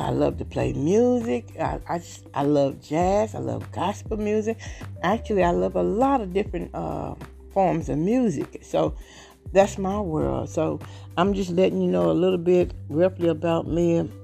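A woman's voice: on the low side, gravelly. Earnest Southern woman in her late fifties. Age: 50s. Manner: earnest, rambling, warm-hearted testimony. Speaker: podcast host. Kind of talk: casual talk.

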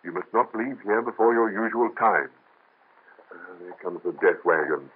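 An actor playing a firm, reassuring friend and fellow physician in an old radio drama. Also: male voice, on the low side, lively intonation, normal speed, slightly rough. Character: steady, commanding male friend and colleague. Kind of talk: 1930s radio drama acting. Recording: tinny old radio recording.

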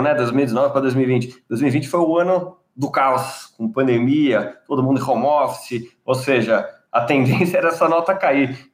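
A man hosting a podcast, chatty and enthusiastic, talking over a remote call. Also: medium pitch. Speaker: podcast host.

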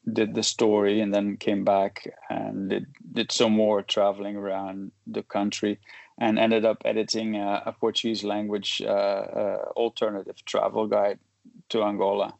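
A man in his forties, raised in Belgium, speaks in a low, gravelly voice that stays fairly flat in tone. He talks haltingly, restarting sentences and filling gaps with "uh" and "um", and chuckles now and then. The sound is dry and close, with little room echo. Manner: halting, many restarts and fillers, chuckles while talking. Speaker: guest, photojournalist. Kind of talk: casual interview conversation.

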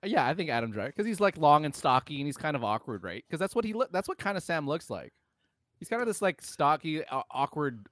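A man's voice: on the high side, sing-song, quick pace, a bit bright, no echo, clean studio sound. A man co-hosting a casual film discussion, speaking bluntly and informally with some swearing. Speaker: podcast co-host.

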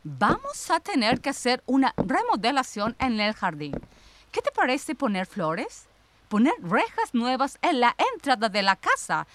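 An actress playing a sharp-tongued, reproachful sister back from exile in a radio drama, ironic and probing. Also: female voice, on the high side, wildly swooping pitch, unhurried, full character voice. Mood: breezy.

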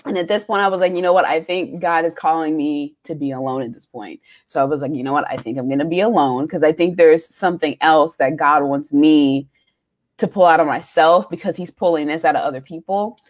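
A young woman in her twenties co-hosting a faith podcast, telling personal stories candidly with frequent pauses and ums. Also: low voice. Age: young adult. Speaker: podcast co-host. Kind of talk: casual chat.

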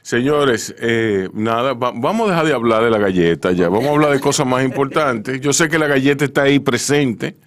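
Man, talk show host, casual conversational delivery, mid-pitched voice. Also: fairly quick, hardly any pauses. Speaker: host interviewing a guest.

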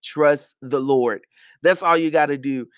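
A man's voice: fairly high pitch, measured pace, a bit bright, smooth, dry recording, clean studio sound. A man hosting a podcast, giving an earnest, sermon-like talk of encouragement from his bedroom, repeating phrases for emphasis. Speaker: podcast host.

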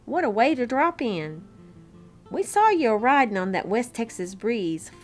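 A hearty, folksy storybook cowboy , read out by a woman, friendly and grinning. The welcome comes at an unhurried pace. Faint classical music plays underneath. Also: a little high, big pitch swings. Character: friendly grinning Texas cowboy greeting a stranger.

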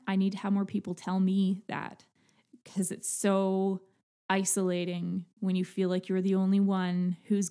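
A young adult woman hosting a podcast, talking to listeners in an earnest, halting personal monologue. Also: mid-range voice. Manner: earnest, halting, soft-spoken. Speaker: podcast host.

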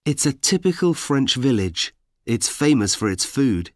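A man reading example sentences for language learners, deliberate and evenly paced. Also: mid-range voice, big pitch swings, no echo, clean studio sound. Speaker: course audio speaker. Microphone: dry studio mic.

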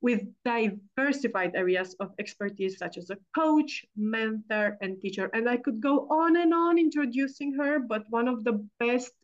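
A woman hosting a podcast, introducing her guest with effusive, drawn-out phrasing. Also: a little high, expressive. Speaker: podcast host. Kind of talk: warm interview introduction. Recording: dull lo-fi sound.

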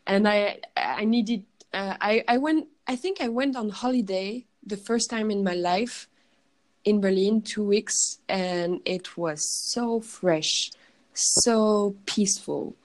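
A woman with a French accent, a guest in a casual interview, often pausing and searching for words. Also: medium pitch, a little mellow. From France.

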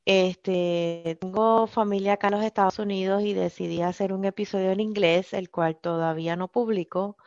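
A woman's voice: medium pitch, fairly even intonation, moderate pace, hardly any pauses, a little mellow, dry recording. A Puerto Rican woman speaking as a podcast guest in casual chat, hesitant, with many filler sounds. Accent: Puerto Rican Spanish. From Puerto Rico. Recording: dull lo-fi sound.

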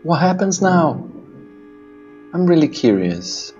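A man's voice: fairly high pitch, big pitch swings, takes it slowly, darkish tone, highs cut off, soft background music. A man hosting casually, introducing readers' recorded contributions. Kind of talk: casual hosting between clips.

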